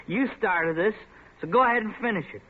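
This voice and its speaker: A man's voice: high-pitched, fairly quick. An actor playing a defensive young man in a 1940s radio drama, snapping back at his friend's taunts. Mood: defiant. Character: touchy young man, Pin, stung by taunts. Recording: tinny lo-fi old radio broadcast with faint hiss.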